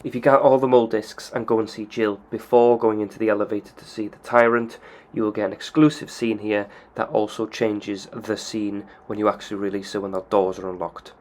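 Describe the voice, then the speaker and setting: A man in plain, casual voice-over narration, explaining video game steps one by one. His voice sits a little low and stays steady and matter-of-fact. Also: a little fast, few pauses. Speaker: video game channel host.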